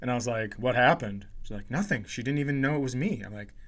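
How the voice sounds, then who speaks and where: Man, mid-pitched voice, podcast co-host, casual chat, offhand, matter-of-fact storytelling. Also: expressive, fast talker.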